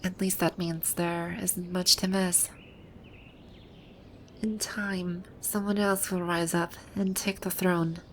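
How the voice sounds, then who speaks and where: A woman playing a haughty, grouchy video game villain boss with a secretly soft side, speaking in imperious, formal grumbles in an ASMR roleplay. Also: on the low side, little pitch movement, takes it slowly, slight background noise. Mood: wistful, resigned. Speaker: audio roleplay voice actor.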